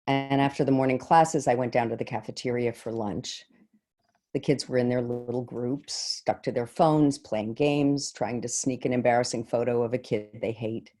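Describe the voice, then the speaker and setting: Actress doing a earnest female middle school teacher, mellow voice, thoughtful pauses, shaken, stage monologue over video call. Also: very deep voice, fairly even intonation. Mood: shaken, subdued. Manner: reflective, halting, deliberate pauses.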